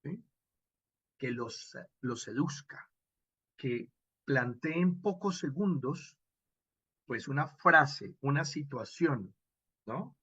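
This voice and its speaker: A man giving an informal online lecture as an instructor, expressive and didactic, often checking in with short questions. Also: mid-range voice, slow pace, long pauses, husky, no room sound, lo-fi sound. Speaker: radio drama instructor.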